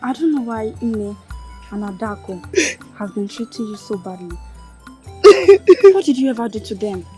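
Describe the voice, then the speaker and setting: A woman's voice: medium pitch, moderate pace, long pauses, mellow, close to an everyday voice. An actress with a Nigerian accent playing a kind, protective young village woman, coaxing and pleading in a film melodrama. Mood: gentle consoling. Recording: film dialogue with music behind.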